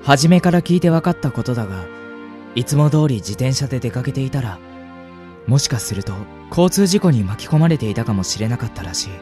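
A young man playing a gentle, sentimental university student in a radio drama, with an earnest, polite manner. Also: mid-range voice, big pitch swings, brisk, lightly acted. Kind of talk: radio drama acting with narration. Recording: music bed under the voice.

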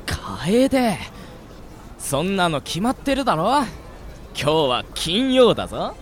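A man playing an upbeat, excitable high school boy in an amateur radio drama, talking in playful, casual slang. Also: takes it slowly, slight background noise, heavily acted. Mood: playful, eager.

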